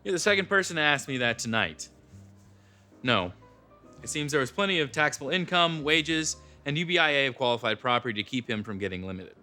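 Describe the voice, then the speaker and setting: A hard-boiled private eye, played by a man, with a bright, forceful voice at a middle pitch. He speaks in clipped, dry lines, and faint music plays under him. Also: lively intonation, a little fast. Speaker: lead detective character. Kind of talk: noir parody acting.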